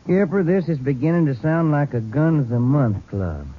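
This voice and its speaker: An actor playing an easygoing, folksy police detective sidekick in a 1950s radio drama, speaking casually. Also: male voice, fairly high pitch, animated, normal speed. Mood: casual, dry. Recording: muffled, noisy old radio recording.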